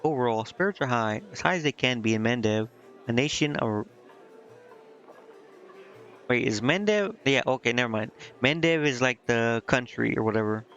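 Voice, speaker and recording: A man's voice: medium pitch, expressive, normal speed, a bit bright. A man casually commenting on a video game he is streaming, thinking aloud and reading bits of on-screen text. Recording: slightly dull lo-fi mic, game music behind.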